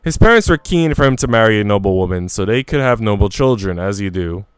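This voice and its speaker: A man reading a visual novel's young housemaid, who tells her story in a halting, self-deprecating way. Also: lowish voice, expressive, clear, resonant tone, dry recording, soft background music, natural voice. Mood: wistful, self-deprecating. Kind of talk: visual novel dialogue read aloud.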